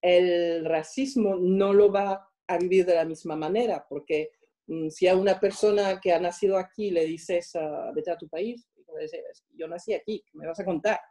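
Mellow, halting French-accented woman. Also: on the low side, fairly even intonation. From France. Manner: halting, searching for words. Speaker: interviewed guest. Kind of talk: reflective interview answers.

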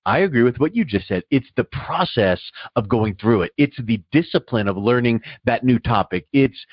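A man's voice: medium pitch, lively intonation, normal speed, breathy edge, no room sound, highs cut off. A middle-aged man hosting a casual interview, self-assured and emphatic, repeating words to stress his points. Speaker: podcast host and interviewer.

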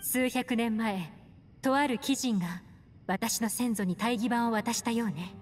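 A woman playing a composed, serious adult investigator in a video game, speaking in a measured way. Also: fairly high pitch, big-room sound, natural voice. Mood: composed, grave. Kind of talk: Japanese video game voice acting. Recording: music behind.

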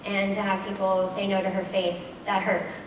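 A woman's voice: medium pitch, monotone, moderate pace, background noise. Earnest young woman in an echoey hall. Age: 20s. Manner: earnest, candid, with frequent ums and restarts. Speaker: speaker. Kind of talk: conference talk. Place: large dark auditorium stage. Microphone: headset mic. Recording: echoey hall, heard from far off.

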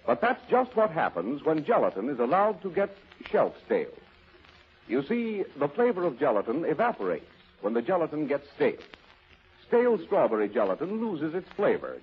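A man's voice: mid-range voice, huge pitch swings, normal speed, slightly rough, dull audio. A man announcing formally in the style of 1930s American radio, on an old broadcast recording.

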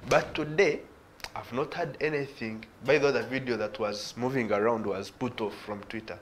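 A man's voice: medium pitch, moderate pace, slight background noise. A young man with a Ugandan accent, speaking earnestly and gesturing as a guest on a TV studio panel, with a lapel mic. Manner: earnest, illustrates points with hand gestures. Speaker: studio guest, youth organisation director.

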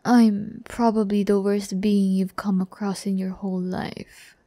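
Slow, soft-toned teasing demon girl played by a woman. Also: medium pitch, little pitch movement, no echo, lightly acted. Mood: aloof, boastful. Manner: breathy, unhurried, teasing, often dropping to a whisper. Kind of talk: intimate ASMR audio roleplay. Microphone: close-up microphone.

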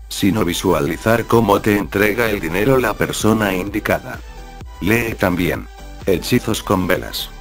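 A man narrates a scripted video in a fairly low voice. He reads the text aloud as the channel's narrator, with music playing faintly behind him. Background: background music.

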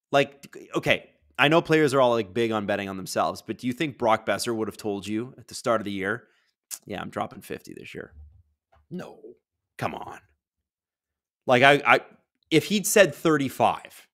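A man in his thirties with a booming voice, hosting a casual live watch-along from a studio, chatting to viewers and breaking into excited play-by-play. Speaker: livestream host.

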